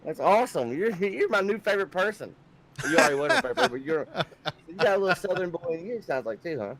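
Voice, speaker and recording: A man's voice: high-pitched, sing-song, fast talker, fairly bright tone. A man doing color commentary on a sim race broadcast, talking in a folksy, teasing, joking way. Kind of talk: casual race broadcast banter.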